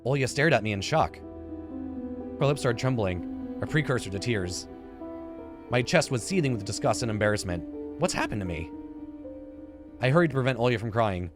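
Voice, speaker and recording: Male let's player, 30s, animated narration of a story game with loose asides, music underneath. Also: mid-range voice, normal speed, pauses often. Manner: informal, stumbles and rereads words. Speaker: gaming YouTuber, let's player. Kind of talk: reading visual novel narration aloud with casual comments. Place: indoor room, seated at a computer.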